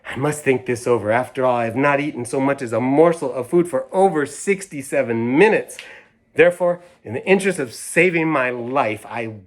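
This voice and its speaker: A woman's voice: rumbling low, normal speed. Man reading as a sulky, melodramatic little girl. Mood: grudging, haughty. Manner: pompous, emphatic, over-precise declarations. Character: dramatic, sulky, indignant little girl, jealous of brother.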